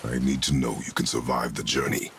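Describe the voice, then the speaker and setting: A man playing a stern, battle-hardened warrior father in a video game, gruff and terse, with a very deep, gravelly voice. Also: fairly even intonation, fairly quick, fairly bright tone, slight background noise. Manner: terse, commanding, clipped sentences. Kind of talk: cinematic video game acting.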